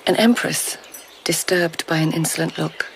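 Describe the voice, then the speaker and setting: A woman's voice: low-pitched, normal speed, gravelly, a little hiss or hum, rough recording. An actress playing a sardonic, guarded court lady in a radio drama, speaking in a dry, wry, clipped way. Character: sardonic, guarded Japanese court lady, sharp-witted diarist. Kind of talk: naturalistic radio drama acting.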